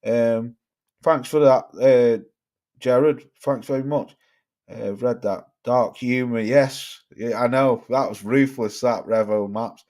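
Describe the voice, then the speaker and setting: A man's voice: medium pitch, takes it slowly, a little mellow. Sweary young man with a Cheshire-Stoke accent. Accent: Cheshire English mixed with Stoke. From Cheshire, England. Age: young adult. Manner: blunt, sweary, rambling. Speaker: livestream host. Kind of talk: casual live chat reading viewer comments.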